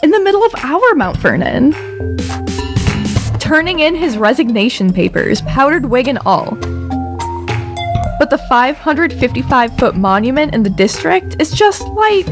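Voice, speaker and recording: A woman's voice: high voice, very animated, a little slow, over music. A woman narrating a scripted audio drama in a wry storytelling style.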